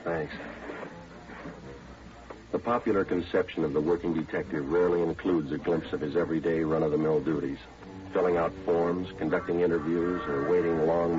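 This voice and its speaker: A man plays a level-headed radio detective with a very deep voice. He is terse and matter-of-fact, reporting like a case file. The sound is a dull 1950s radio recording. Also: natural voice. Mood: terse, bored. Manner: clipped, deadpan, matter-of-fact. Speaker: police detective and narrator. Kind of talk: 1950s radio drama, scripted narration. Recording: dull old radio recording, background noise.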